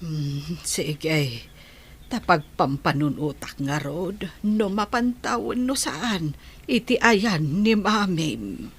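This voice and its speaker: A woman playing an ailing old grandmother who is softening, in an Ilocano radio drama, with an Ilocano accent. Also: lowish voice, expressive, slow, slightly rough, exaggerated voice. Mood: weary, reluctant.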